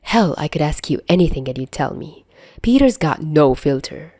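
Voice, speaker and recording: A woman's voice: deep voice, animated, normal speed, mellow, dry recording, studio quality. A woman playing a fondly exasperated, protective fatherly man in an audio story, teasing and soothing.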